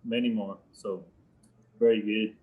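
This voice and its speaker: A man from Colombia, a professional ballet dancer, answering in a relaxed way with some ums. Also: on the low side, measured pace, narrow, tinny audio. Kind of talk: casual interview answers.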